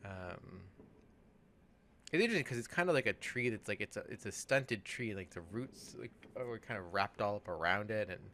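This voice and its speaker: Bright-voiced man musing over a game. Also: on the low side, animated, a little hiss or hum. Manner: halting, musing, thinks aloud. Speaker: let's play co-host playing the game. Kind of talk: casual game commentary chat.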